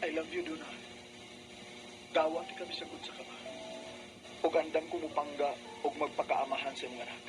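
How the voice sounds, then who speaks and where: A man's voice: a little high, steady tone, fairly quick, pauses often, noisy background, natural voice. A man with a Cebuano Filipino accent acting a devoted, earnest young suitor in a radio drama. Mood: earnest, pleading.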